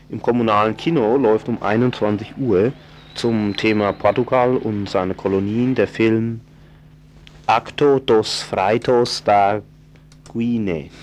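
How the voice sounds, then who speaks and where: A man presenting a radio events calendar, reading the listings in an unhurried, hesitant way with fillers and self-corrections. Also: lowish voice, darkish tone.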